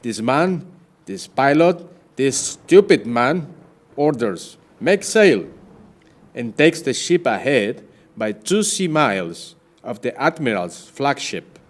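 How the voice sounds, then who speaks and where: Man acting a slow-spoken, proud sea captain. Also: mid-range voice, very animated. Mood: indignant. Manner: declamatory, formal. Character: proud, wronged Spanish sea captain, gallant and indignant. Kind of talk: audio play acting.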